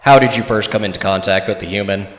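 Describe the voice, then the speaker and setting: A man's voice: on the low side, fast, lightly acted. A man playing a curt, impatient official interrogator in an audio drama, speaking in formal, clipped phrases in an echoing hall. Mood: stern, official. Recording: echoey hall with long reverb.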